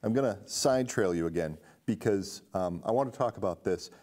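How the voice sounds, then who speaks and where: A man's voice: lowish voice, normal speed, a little mellow. A middle-aged man hosting a casual film discussion in an indoor studio. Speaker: host. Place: indoor studio set with curtains.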